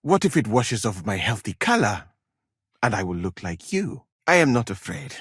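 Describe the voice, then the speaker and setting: Wry scholar, very animated. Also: male voice, lowish voice, moderate pace, husky, no echo, clean studio sound, heavily acted. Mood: teasing. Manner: formal careful phrasing, wry and theatrical. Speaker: video game character. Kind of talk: game voice lines, expressive acting.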